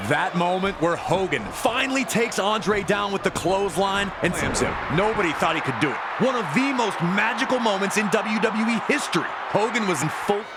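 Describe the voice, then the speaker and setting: A man's voice: high-pitched, expressive, normal speed, few pauses. A man narrating wrestling matches as a commentator, in a dramatic sports documentary style with an emphatic, suspenseful delivery. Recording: loud crowd and arena noise behind. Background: roaring wrestling crowd, ring slams.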